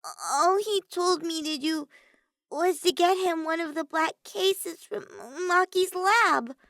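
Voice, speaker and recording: A woman voicing a small, timid teenage girl mage in a story, halting and nervous. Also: a bit bright, no echo, studio quality, exaggerated voice. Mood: defensive, stiff. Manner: squeaky, stiff, halting.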